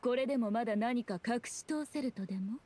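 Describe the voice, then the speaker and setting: Haughty fox shrine maiden, acted by a woman, slightly high voice, archaic lordly phrasing, composed, scornful, anime game. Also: brisk, dark tone, a little hiss or hum, natural voice. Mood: cool, threatening. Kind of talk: Japanese anime-style game acting.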